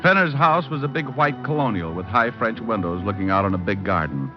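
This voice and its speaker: An actor playing a cocky, wisecracking hardboiled private detective who narrates with deadpan humor in an old-time radio drama. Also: male voice, on the low side, a little fast, lightly acted. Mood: wry narration. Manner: deadpan, flippant wordplay in narration and dialogue. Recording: muffled old radio recording with music.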